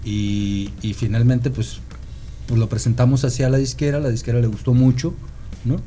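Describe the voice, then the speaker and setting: A man with a Guadalajara Mexican accent, a musician guest telling stories in casual conversation, halting and meandering, often seeking agreement. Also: medium pitch, fairly even intonation, mellow, a little hiss or hum. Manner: halting, meandering storyteller who seeks confirmation with "¿no?". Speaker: podcast guest, rock musician.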